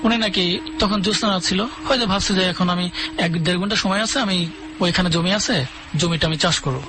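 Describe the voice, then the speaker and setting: A man's voice: sits high, a little fast, sharp tone, slight background noise. A man calling in to a radio show, telling ghost stories in a casual, hesitant way with many fillers and restarts. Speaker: caller sharing ghost stories. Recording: radio broadcast with music underneath.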